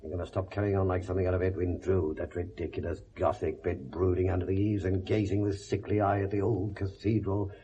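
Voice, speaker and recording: Blunt, husky British police inspector. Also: male voice, low voice, flat delivery, a little fast, few pauses, warm tone. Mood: forceful, scornful. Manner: sardonic, lecturing, blunt and slangy. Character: blunt world-weary police inspector, gruff but kindly. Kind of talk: 1970s British radio drama acting. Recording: dull vintage radio recording.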